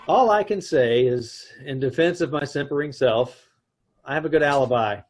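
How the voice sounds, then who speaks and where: A man's voice: medium pitch, moderate pace, soft background music, natural voice. A man playing an exasperated, wry husband who also narrates, in an amateur old-time radio play. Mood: wry narration. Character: put-upon husband, adman Mister Ace. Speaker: narrator of the story.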